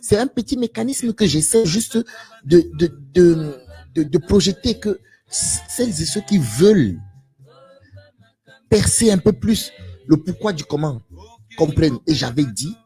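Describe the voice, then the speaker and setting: Man, raspy husky voice, animated swooping pitch, lecturing monologue, rhetorical questions. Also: measured pace, long pauses, soft background music. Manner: addresses listeners directly as "tu". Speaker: live stream guest speaker. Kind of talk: live stream monologue.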